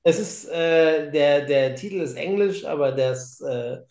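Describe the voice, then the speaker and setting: A man's voice: a little high, measured pace, a little mellow. A man chatting casually on a podcast, enthusiastic and stumbling over his words. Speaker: podcast panelist. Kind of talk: casual chat. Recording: roomy, echoing sound.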